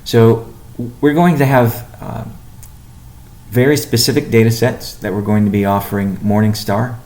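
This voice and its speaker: A man giving a company update on a conference call as its chief executive, speaking in a measured, halting way with deliberate pauses. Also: on the low side, steady tone, darkish tone. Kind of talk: business conference call presentation.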